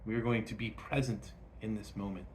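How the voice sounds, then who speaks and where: A man leading a guided meditation, speaking gently and instructively in short phrases with frequent pauses. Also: on the low side, steady tone, heavy background noise.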